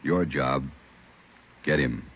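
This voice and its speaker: A man's voice: very deep voice, takes it slowly, very noisy. A man giving solemn, dramatic 1950s radio narration as an announcer. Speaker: introductory announcer. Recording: old radio recording, narrow band. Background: loud brassy music.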